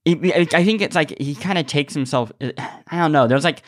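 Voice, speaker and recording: A man's voice: fairly high pitch, moderate pace. A man hosting a podcast in casual chat, telling stories in a rambling way with repeated words. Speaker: podcast host.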